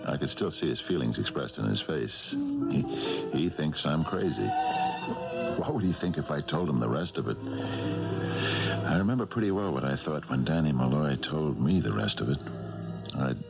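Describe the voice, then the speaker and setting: Very deep, gravelly retired cop, old radio. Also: male voice, wildly swooping pitch, full character voice. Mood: brooding, reflective. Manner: halting, deliberate, understated. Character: retired policeman, earnest, hesitant, worried and kindly. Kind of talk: 1970s radio drama acting with first-person narration. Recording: muffled old radio broadcast, music behind.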